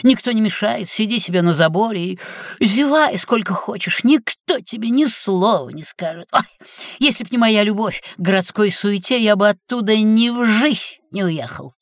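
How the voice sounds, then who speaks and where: Woman playing a theatrical, grumbly tomcat with lively pitch. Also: moderate pace, dry recording, studio quality, lightly acted. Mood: contented. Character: talking male city cat, grumbly, worldly, theatrical older fellow. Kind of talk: children's audio drama.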